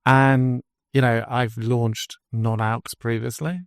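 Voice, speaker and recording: An English man, guest on a podcast interview, telling his story as a drinks brand founder in an earnest pitch. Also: flat delivery, takes it slowly, smooth, dry recording, clean studio sound. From England.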